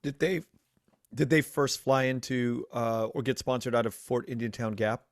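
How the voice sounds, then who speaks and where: A man hosting an interview show, asking questions in a measured, curious way. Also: medium pitch, no room sound. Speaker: podcast host. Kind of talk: interview host with scripted intro. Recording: dry close mic, faint music.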